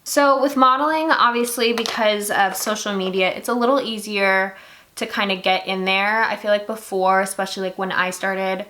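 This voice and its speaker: A woman's voice: mid-range voice, steady tone, normal speed, pushed and bright. A young woman from Singapore chatting casually while doing her makeup, filling her talk with lots of "like". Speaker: beauty vlogger.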